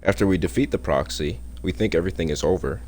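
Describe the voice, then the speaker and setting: A man's voice: sits low, fast, a little mellow, a little hiss or hum. A young man casually recapping a game's story, with slangy, joking asides. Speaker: gaming content creator. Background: faint game music.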